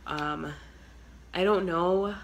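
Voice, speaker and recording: Young woman, low voice, sick and congested, casual chatty beauty vlogger on a phone, trails into whispers. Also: very slow, lo-fi sound, a little hiss or hum. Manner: chatty, filler-heavy, trails off to near whispers. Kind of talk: casual makeup tutorial chat. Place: small bedroom at home. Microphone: phone camera at arm's length.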